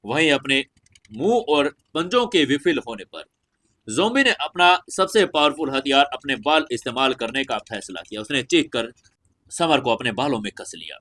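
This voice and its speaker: A man's voice: medium pitch, animated, normal speed, sharp tone. A man narrating a scripted fantasy audio story in a dramatic storytelling style.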